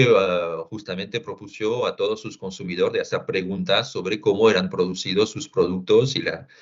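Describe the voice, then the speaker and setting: A man giving a business conference talk on consumer trends, speaking in a halting way with frequent pauses and restarts. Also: low-pitched, fairly bright tone, highs cut off. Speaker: market research presenter.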